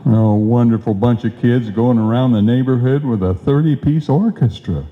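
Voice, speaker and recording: A man's voice: medium pitch, normal speed, close to an everyday voice. A man playing a folksy, kindly, wry neighbor in a live old-time radio comedy. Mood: genial, proud. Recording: dull, echoey hall stage recording.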